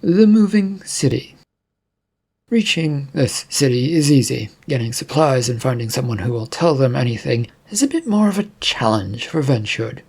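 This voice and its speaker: A man narrating his own fantasy story, halting in places, with a husky, gravelly voice. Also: mid-range voice, expressive, darkish tone.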